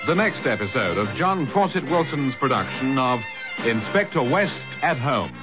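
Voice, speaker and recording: Casting: British male drama announcer, lively intonation, loud music underneath, slightly dull recording. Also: medium pitch, normal speed. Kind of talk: scripted broadcast announcement. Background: loud theme music.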